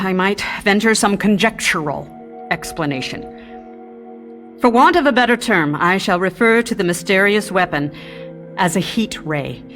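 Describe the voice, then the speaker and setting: An actress playing a cautious, authoritative science professor, speaking in a deliberate academic way in a radio drama. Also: female voice, medium pitch, a bit bright, natural voice. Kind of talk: radio drama expert interview.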